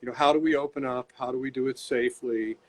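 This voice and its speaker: A man's voice: medium pitch, steady tone, fast talker, low-quality recording. Rambling older man on a video call. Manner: rambling, repeats words and restarts. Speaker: bootmaker and shop owner. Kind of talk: casual interview chat. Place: home workshop.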